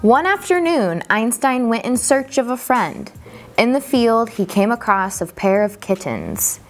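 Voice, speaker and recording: A woman reading a children's picture book aloud as a storyteller, expressively and deliberately, drawing out key words for emphasis. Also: a little high, very animated, soft background music.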